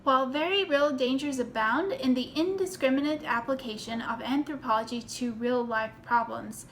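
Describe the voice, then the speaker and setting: A woman's voice: high-pitched, normal speed, fairly bright tone. A woman narrating a scripted tribute essay, reading it aloud. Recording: noticeable background noise.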